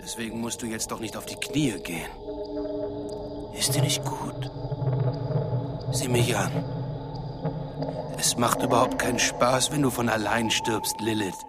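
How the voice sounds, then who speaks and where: A man's voice: medium pitch, a little slow, pauses often, over loud music, lightly acted. An actor playing a gloating, sadistic villain in a radio drama, mocking and theatrical as he savours his words. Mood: bored cruelty. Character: gloating, sadistic male villain toying with captives. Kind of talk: German radio drama acting.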